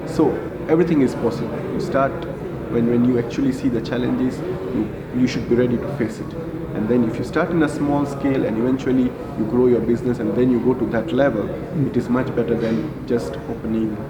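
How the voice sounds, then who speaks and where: A man's voice: mid-range voice, steady tone, a little fast, a loud crowd. A man from India, a hotel executive answering interview questions hesitantly, with frequent fillers. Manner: hesitant, many "uh" and "I think" fillers. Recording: echoey room with loud background noise.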